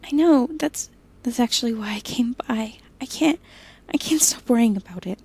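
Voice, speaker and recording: Woman acting anxious young woman, high-pitched, mellow tone, hesitant, audio drama dialogue. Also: animated, slightly rough. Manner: hesitant, halting. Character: anxious young woman Ophelia, police chief's daughter, pleading.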